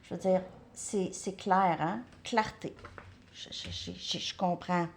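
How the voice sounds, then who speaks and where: A woman's voice: lowish voice, slow pace, very noisy. Chatty woman reading tarot cards. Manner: chatty, thinks aloud, repeats words, drops into soft asides. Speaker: tarot card reader. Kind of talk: casual live card reading.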